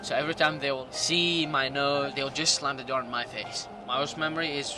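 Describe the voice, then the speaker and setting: A man in his late teens from Iraq with a Middle Eastern accent gives personal testimony in halting, non-native English. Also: mid-range voice, steady tone. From Iraq, Jordan and Syria. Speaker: documentary interview subject. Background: music.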